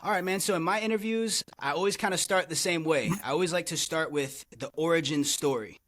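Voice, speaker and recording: A man's voice: on the high side, brisk, fairly bright tone. A man hosting a casual interview podcast, upbeat and encouraging, often answering with "for sure, man.". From Tampa area, Florida. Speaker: podcast host.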